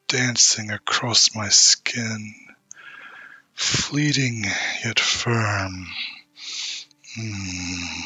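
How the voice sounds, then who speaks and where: A man's voice: on the low side, bright, forceful tone, no echo, full character voice. A man playing a seductive, patient spirit of the void in an intimate audio roleplay, lingering on words and often slipping into a whisper. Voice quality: breathy, often dropping into whisper. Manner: slow, lingering, hypnotic.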